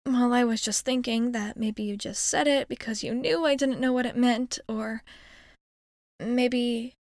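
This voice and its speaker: A woman's voice: a little high, a little mellow, dry recording, clean studio sound. A woman voicing a shy, sweet young alien girl in a hesitant, earnest, intimate ASMR roleplay monologue.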